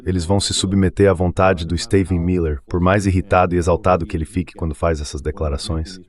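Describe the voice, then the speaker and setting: A man with a Brazilian accent speaks in a low-pitched voice. He delivers analytical commentary as an interview guest, in the form of a translated voice-over. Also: a little mellow.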